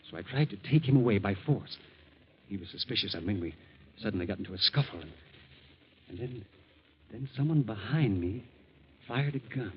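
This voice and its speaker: A man playing a nervous, earnest fugitive who speaks haltingly in an old-time radio drama, on a dull vintage recording. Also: on the low side, lively intonation, husky. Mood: halting, grave. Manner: hesitant, halting. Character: nervous, earnest wanted Austrian man protesting his innocence.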